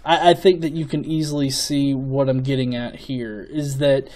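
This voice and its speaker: A man giving off-the-cuff opinion commentary as a video host, in a casual, sweary manner with halting, repeated words. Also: mid-range voice, steady tone, dark tone. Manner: casual, profanity-laden.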